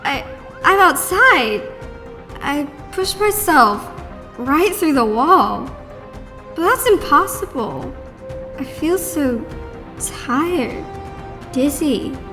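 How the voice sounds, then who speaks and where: A woman's voice: high-pitched, exaggerated voice. A woman playing a curious, candid young teenage girl who speaks in a casual youthful way in an audio drama. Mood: dazed, weary.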